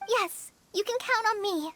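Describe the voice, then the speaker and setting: A woman voicing a shy, earnest teenage apprentice ranger in an anime-style game, youthful and soft, speaking politely with some hesitancy. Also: very high-pitched, measured pace, heavily acted. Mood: helpful. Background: music.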